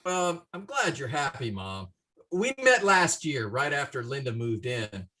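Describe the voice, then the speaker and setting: A woman voicing a sheepish grown son who explains himself in a halting, flustered way. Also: very deep voice, very animated, a bit bright, full character voice. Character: sheepish adult son caught out.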